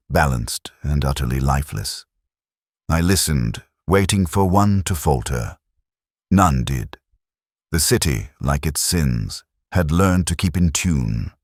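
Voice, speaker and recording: A man playing a weary, literate police inspector, narrating a detective drama in a measured, melancholy, literary way. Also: extremely low pitch, dry recording, clean studio sound, full character voice. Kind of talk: scripted first-person detective narration.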